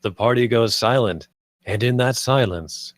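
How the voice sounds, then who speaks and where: A man's voice: medium pitch, unhurried, no echo, clean studio sound. A man reading stage directions aloud as scripted screenplay narration.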